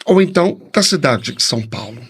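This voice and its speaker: A man's voice: medium pitch, lively intonation, a little fast, husky. A Brazilian man, a priest, giving a heartfelt, effusive and devout message with rhetorical turns as a podcast guest.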